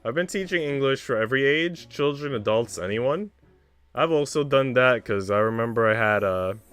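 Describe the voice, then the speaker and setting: A man's voice: medium pitch, fairly bright tone, smooth. Casual slangy man streaming over music. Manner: informal, slangy, swearing. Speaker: livestreamer. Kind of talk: casual chat with stream viewers while reading aloud. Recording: music playing behind the voice. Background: background music.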